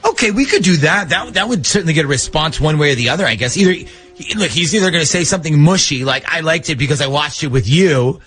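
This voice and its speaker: A man's voice: on the high side, expressive, fast talker, fairly bright tone, faint music behind. A man hosting a morning radio show, bantering casually through prank calls.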